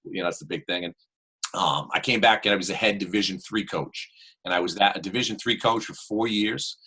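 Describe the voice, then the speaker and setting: Forceful deep-voiced Boston man. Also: fairly quick, slightly rough, poor audio. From Worcester, Massachusetts. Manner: rambling, full of "you know" and "um". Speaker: guest basketball coach. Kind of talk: casual interview chat.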